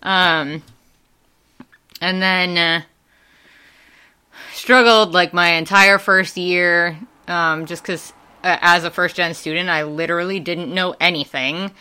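A woman's voice: on the low side, fairly even intonation, slow, pauses often, sharp tone, smooth. A young woman with a Wisconsin accent, chatting casually as a podcast guest and rambling with lots of fillers and laughs. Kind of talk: casual chat.

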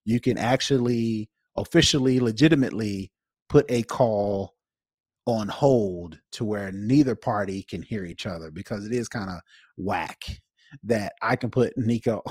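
A man's voice: lowish voice, darkish tone, dry recording, studio quality. A man co-hosting a podcast in casual chat, explaining things informally and circling back to rephrase. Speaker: podcast co-host.

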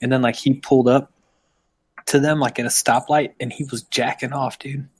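A man's voice: medium pitch, flat delivery, moderate pace. A man co-hosting a casual podcast, talking in a laid-back way with lots of "dude" and "man". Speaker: podcast co-host. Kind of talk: casual chat and anecdote.

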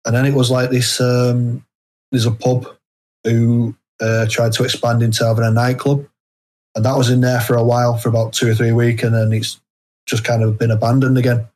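Husky British man in casual conversation. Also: mid-range voice, level tone, moderate pace, a little mellow. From United Kingdom. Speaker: interview guest, indie horror author. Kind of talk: casual chat.

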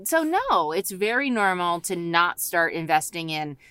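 A woman's voice: fairly low pitch, big pitch swings, a little slow, pushed and bright, clean tone, no echo. A woman co-hosting a talk show, giving casual, candid advice in plain words with a joking streak. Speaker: podcast co-host and skincare adviser.

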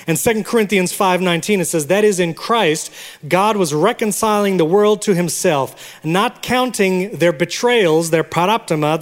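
A man of Puerto Rican origin preaching a sermon as pastor, teaching words and having the congregation repeat them. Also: a little high. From Puerto Rico. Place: church sanctuary. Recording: long reverb of a large hall.

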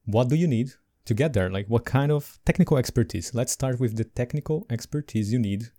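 Male podcast co-host, non-native English, mellow tone, animated delivery. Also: mid-range voice, a little fast. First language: not English. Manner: animated, laughs between points. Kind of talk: casual podcast chat.

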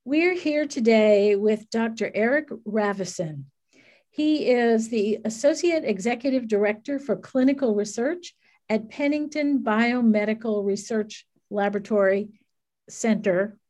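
A woman's voice: on the high side, unhurried, a little mellow. A woman hosting a podcast, asking a guest friendly, curious questions. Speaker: podcast host. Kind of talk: podcast interview.

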